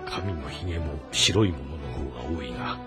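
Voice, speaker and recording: An actor playing a proud, loyal general in his fifties from ancient China, gravelly and weighty in a historical drama. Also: a bass voice, lively intonation, fairly quick, loud music behind the voice. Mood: quiet, satisfied. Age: 50s. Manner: weighty, commanding, formal. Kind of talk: Japanese historical audio drama acting.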